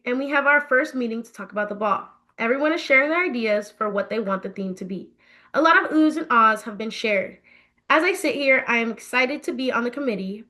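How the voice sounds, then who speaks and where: A woman with a fairly high, very bright voice narrates a story. She reads in an expressive storybook style, animated and emphatic in her phrasing. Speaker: author reading her own book.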